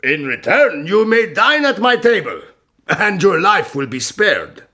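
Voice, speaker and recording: An actor playing a shrewd, imperious medieval sultan in a historical radio drama, measured and questioning. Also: male voice, high-pitched, very animated, sharp tone, heavily acted. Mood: satisfied, commanding.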